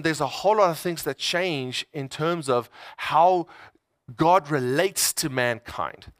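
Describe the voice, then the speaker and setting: A man's voice: on the high side, a bit bright, no room sound, studio quality. A man preaching a conversational sermon, emphatic, repeating phrases and voicing people's inner thoughts in everyday words.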